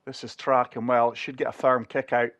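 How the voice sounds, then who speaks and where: A man talks viewers through each shot of a golf game in a casual, chatty way. He sounds short of breath from a chest infection, his middle-pitched voice close and dry on the mic. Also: little pitch movement, fairly quick, a bit bright, no room sound, highs cut off. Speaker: gaming channel host.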